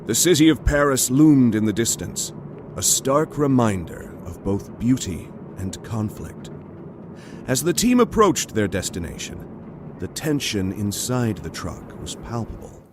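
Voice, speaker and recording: A man's voice: mid-range voice, very animated, unhurried, distant mic, noisy background. A man reading scripted dramatic narration for an audio drama.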